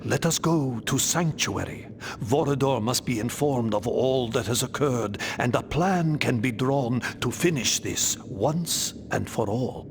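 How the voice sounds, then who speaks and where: An ancient winged vampire elder from a fantasy game. He speaks very slowly in a medium male register, with grave, formal weight. Every phrase is measured and portentous, carrying solemn urgency. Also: medium pitch, expressive, background noise. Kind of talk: theatrical fantasy game cutscene acting.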